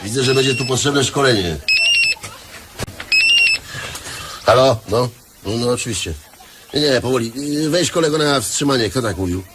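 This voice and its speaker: A man's voice: mid-range voice, brisk, very noisy, natural voice. A man acting in a radio play as a bossy, greedy cemetery leaseholder, officious and dismissive, repeating his words. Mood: bossy, irritated.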